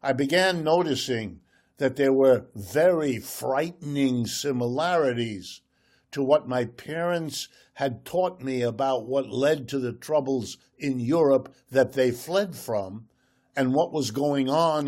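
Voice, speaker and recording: An older man, a professor, speaking in a slow, measured, lecturing way in a radio interview. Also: mid-range voice, a little mellow. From United States, Ohio. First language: German. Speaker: guest economist.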